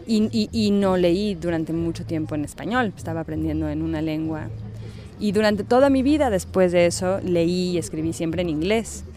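A woman's voice: lowish voice, mellow. A woman writer with a slightly unusual Mexican Spanish accent, answering interview questions thoughtfully and searching for her words.

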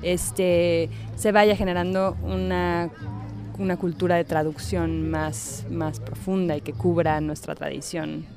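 A woman's voice: on the low side, level tone, slow, music in the background. A woman writer with a slightly unusual Mexican Spanish accent, answering interview questions thoughtfully and searching for her words.

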